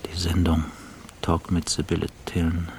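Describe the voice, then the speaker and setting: An actor playing a self-doubting, bitterly cynical journalist in a radio play monologue, husky and breathy, often dropping toward a whisper. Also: male voice, low-pitched, monotone, moderate pace, a little mellow, slight background noise. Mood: bleak, detached.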